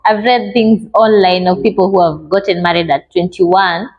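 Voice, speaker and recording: A woman in her twenties with a Kenyan accent, a guest on a casual panel chat, relaxed and teasing. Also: fairly low pitch, normal speed, in a large hall, highs cut off. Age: 20s.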